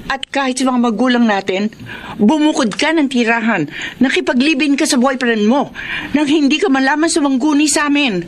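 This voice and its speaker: An actress playing a resentful older sister with a Filipino accent, scolding and sarcastic in a Tagalog radio drama. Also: female voice, high voice, normal speed, slight background noise, close to an everyday voice. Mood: resentful, accusing.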